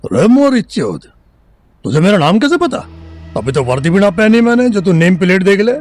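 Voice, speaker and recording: A man plays a bullying, foul-mouthed police officer in an audio drama. He speaks in a gruff Haryanvi accent and a fairly high male pitch, menacing as he interrogates, over music. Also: very animated, normal speed. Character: crude bullying male Indian police sub-inspector, threatening and foul-mouthed. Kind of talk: Hindi audio drama acting.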